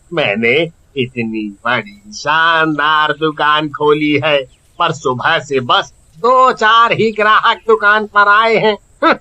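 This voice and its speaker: Man as a bright, sharp-voiced jealous shopkeeper. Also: a little high, no echo, full character voice. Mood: self-pitying. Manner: grumbling, exaggerated. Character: jealous, spiteful male village sweet-shop owner. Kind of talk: cartoon acting.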